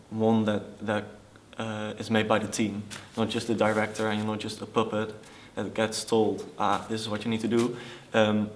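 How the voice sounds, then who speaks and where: A young man answers interview questions in a fairly low voice. His intonation stays very even, and he pauses often while explaining. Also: slight background noise. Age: young adult. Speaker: youth theatre project participant.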